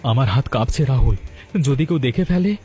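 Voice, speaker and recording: A man's voice: mid-range voice, expressive, fairly quick, warm tone, soft background music, lightly acted. An actor playing an anxious, lovesick young man in a cartoon, speaking in an emotional, earnest way. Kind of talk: dramatic cartoon voice acting.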